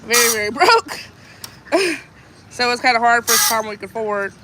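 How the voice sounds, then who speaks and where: Woman, stuffy nose, bright voice, reading comments on a live stream. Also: on the high side, brisk, a little hiss or hum. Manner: chatty, reads comments aloud, laughs easily. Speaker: homesteading vlogger.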